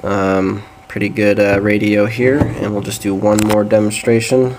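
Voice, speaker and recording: A man's voice: lowish voice, flat delivery, a little slow. A man giving a casual, hesitant hands-on demonstration of radio gear. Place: small indoor room at a desk. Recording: noisy amateur camcorder or phone audio.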